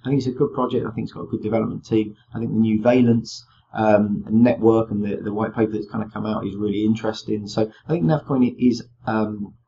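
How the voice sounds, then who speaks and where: A low-pitched man talks through his screen with a slightly croaky, worn voice. He rambles casually, leaning on "kind of" and "um". The sound is muffled and lo-fi. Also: steady tone, brisk, mellow. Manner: rambling, full of "kind of" and "um" fillers. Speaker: crypto video host. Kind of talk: casual screen-share walkthrough.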